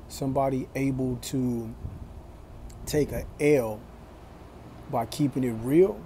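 A man in his forties talking to his phone camera in a small room, slow and deliberate, with a preachy tone. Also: medium pitch, dark tone, a little hiss or hum. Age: 40s. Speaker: vlogger. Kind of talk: casual talk to camera.

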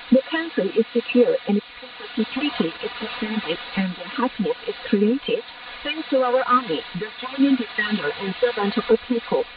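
A woman newsreader with a fairly high voice reads a formal, solemn bulletin. She comes over shortwave radio, sounding distant, with clear static hiss around her words. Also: highs cut off. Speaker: radio news reader. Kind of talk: scripted news bulletin reading.

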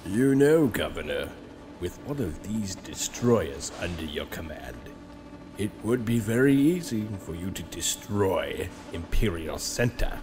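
An actor playing a sinister, gloating emperor in a science-fiction audio drama, speaking in a regal, menacing, drawn-out manner. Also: male voice, deep voice, big pitch swings, music in the background. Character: sinister, gloating galactic emperor, probing and suspicious.

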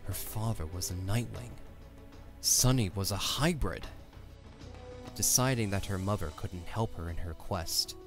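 A man reading scripted story narration for an audio drama. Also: deep voice.

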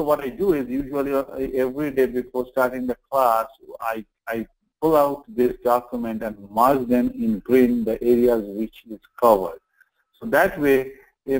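A man's voice: medium pitch, low-quality recording. A man teaching an online class informally, explaining things step by step with repetition and check-in questions. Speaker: online course instructor.

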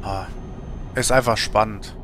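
A man's voice: fairly low pitch, steady tone, unhurried, fairly bright tone, a little hiss or hum. A middle-aged man chatting casually and musingly on a gaming livestream from home, in colloquial speech. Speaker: game livestreamer. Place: indoor home streaming setup.

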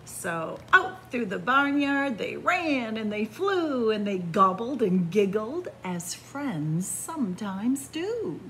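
A middle-aged woman reading a picture book aloud to children in an animated, deliberate storytelling manner. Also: on the high side, slight background noise, low-quality recording. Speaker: library story-time reader. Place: indoors in a library among bookshelves.

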